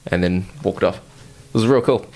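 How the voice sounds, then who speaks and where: Podcast co-host, male, lower voice, loose and profane banter. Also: moderate pace. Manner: swearing, relaxed and rambling, with many fillers. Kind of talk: casual chat.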